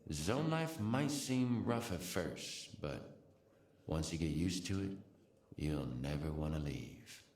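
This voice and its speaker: A man playing a seasoned wasteland dweller in a video game, talking in a measured, deliberate way. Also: rumbling low, animated, darkish tone, heavily acted. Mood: settled. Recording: echoing room.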